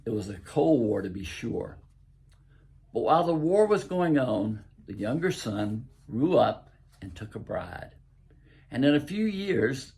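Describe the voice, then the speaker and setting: Raspy, creaky older Southern man telling a story. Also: on the low side, warm tone. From southern United States. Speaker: host and author reading own stories. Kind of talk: storytelling, reading aloud from own book.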